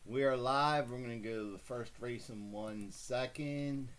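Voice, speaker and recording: A man hosting a casual live stream and calling horse races, rambling and correcting himself as he goes, then getting excited at the finish. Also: medium pitch, unhurried. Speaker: host calling horse races for a fantasy contest.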